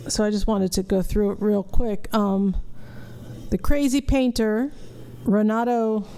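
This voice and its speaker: Middle-aged female podcast host, mellow and unhurried, blunt and casual. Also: medium pitch, steady tone, clear, resonant tone. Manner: blunt, profane. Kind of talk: casual watch-along commentary.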